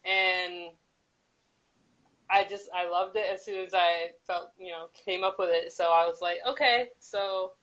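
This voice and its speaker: A woman guest artist with a deep voice, musing in a meandering casual chat on a live video call. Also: steady tone, unhurried, a bit bright, muffled recording. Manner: reflective, meandering, with frequent "like" and "you know". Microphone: live-stream call.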